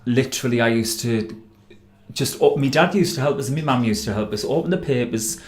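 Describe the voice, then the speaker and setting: A young man with a Geordie accent, a singer guest in a radio studio, talking fast and giddily with frequent laughs. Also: medium pitch. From North East England. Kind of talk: excited chatty interview.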